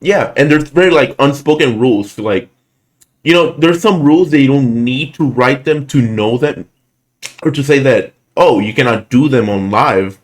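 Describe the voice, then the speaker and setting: Deep-voiced man from Puerto Rico. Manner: chatty, slightly halting English, playful. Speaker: show host, commentator. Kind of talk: casual interview.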